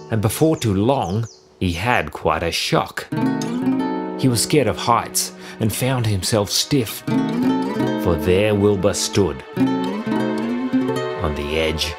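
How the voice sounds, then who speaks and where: Unhurried, expressive low-voiced man reading a story. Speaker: storybook narrator. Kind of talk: scripted rhyming children's story read-aloud.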